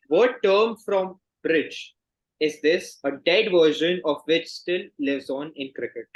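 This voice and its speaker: Higher-pitched man reading quiz questions. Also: a bit bright, low-quality recording. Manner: formal, measured question reading with set confirming phrases. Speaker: quizmaster.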